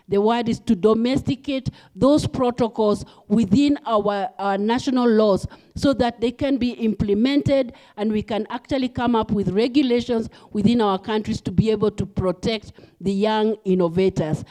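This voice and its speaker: A woman speaking as a panelist at a conference, in a measured way with occasional fillers. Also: a little high, mellow, poor audio. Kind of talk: panel discussion remarks. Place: conference hall.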